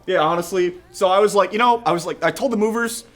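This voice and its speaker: A man's voice: sits high, fast talker, fairly bright tone, faint music behind. A man hosting a video game review, talking in a casual, opinionated way. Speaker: host and reviewer.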